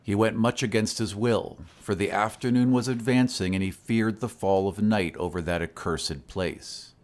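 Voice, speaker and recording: A man giving a grave, measured narration of a scripted horror story in an audio drama. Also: fairly low pitch, fairly even intonation, a little mellow.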